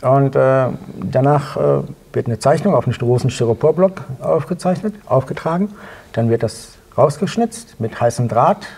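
Middle-aged male interview guest, rough and creaky, medium pitch, plain-spoken and matter-of-fact. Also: moderate pace, darkish tone. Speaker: interview guest, sculptor and illustrator. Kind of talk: casual interview answers. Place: small indoor talk-show studio.

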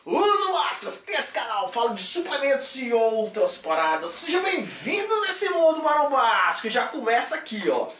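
A man's voice: extremely high pitch, very animated, normal speed, few pauses, a bit bright, recorded from a distance. A man in his thirties hosting a casual fitness vlog, talking animatedly with jokey catchphrases. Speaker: fitness supplement YouTuber. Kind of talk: energetic casual vlog chat. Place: small room with shelves of supplements. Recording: echoey room, voice off-mic.

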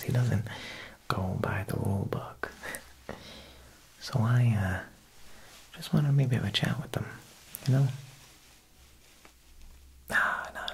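A young man playing a smooth, quietly menacing Italian mob boss tailor in an ASMR roleplay, speaking softly and unhurriedly at a close mic, with insinuating pauses. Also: mid-range voice. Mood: falsely reassuring. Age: young adult. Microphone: close ASMR microphone.

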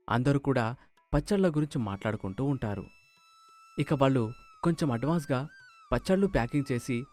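A man tells a moral story as scripted narration. His voice sits in a middle range, with soft music playing behind it. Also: medium pitch.

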